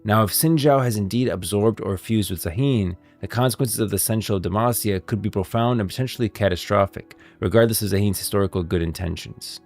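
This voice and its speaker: A man's voice: fairly low pitch, a little fast, hardly any pauses, a little mellow, faint music behind. A man streaming game lore commentary, moving between scripted narration and off-the-cuff explanation.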